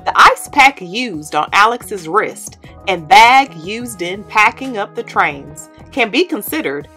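A young woman, host of the channel, reading a children's picture book aloud in an expressive, animated way. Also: medium pitch, slow, faint music behind. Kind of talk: read-aloud storytelling. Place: indoor studio with plain orange backdrop.

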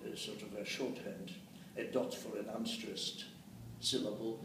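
A man's voice: fairly low pitch, flat delivery, measured pace. An elderly man in his eighties, a translator presenting and reciting verse expressively, with rhythmic emphasis. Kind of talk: expressive poetry recitation with spoken commentary. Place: indoors, meeting room. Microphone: camera or phone a short distance away. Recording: roomy, distant mic with faint noise.

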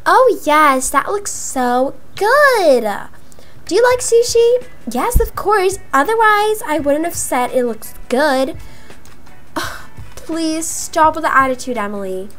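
High-pitched, animated young woman. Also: slow pace. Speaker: gaming video creator voicing roleplay characters. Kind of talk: improvised Roblox roleplay dialogue. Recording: music behind the voice. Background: music.

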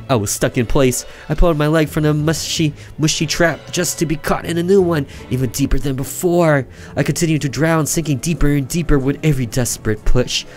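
A man reading a visual novel aloud as a gaming host, dramatic and theatrical, sometimes stumbling and rereading. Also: fairly high pitch. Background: game music.

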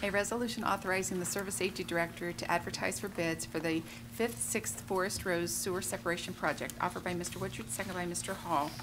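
A woman clerk reads aloud formally and quickly at a council meeting. Noticeable noise runs behind her in the chamber. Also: on the low side, fairly even intonation. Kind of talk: formal roll call and reading aloud. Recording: noisy council chamber room recording.

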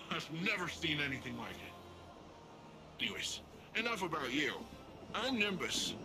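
A young man voicing a cocky, playful rookie hero in a video game, chatty and casual. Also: a little high. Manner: casual, hesitant asides, playful bragging. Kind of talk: video game dialogue acting. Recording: music behind.

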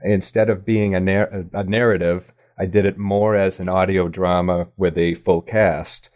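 Male podcast guest, low-pitched, thoughtful stop-and-start talker in a casual interview. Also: little pitch movement, measured pace, a little mellow. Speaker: podcast guest, audio drama writer and producer.

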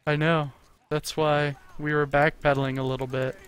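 A man's voice: fairly high pitch, flat delivery, a bit bright, smooth. A young man casually chatting over co-op gameplay, enthusiastic and jokey. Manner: enthusiastic, jokey, quick reactions. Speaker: gaming channel host.

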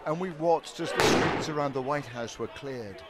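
A man voicing a news report as correspondent, measured and grave in delivery. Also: a little high. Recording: loud crowd and street noise behind.

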